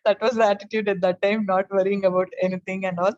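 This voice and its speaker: A soft-spoken middle-aged woman from South India, Telugu her first language, telling her story in a flat, even tone with lots of pauses and "uh"s. Her voice comes through a video call that sounds dull and lo-fi. The sound is dry, with no room echo. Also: medium pitch. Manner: soft-spoken, rambling, with frequent fillers and small laughs. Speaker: guest presenter. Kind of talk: personal testimony on a video call.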